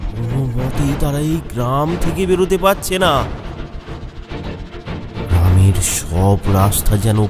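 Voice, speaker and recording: A man narrating a scripted horror story in a suspenseful, dramatic manner, with music behind him. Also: medium pitch.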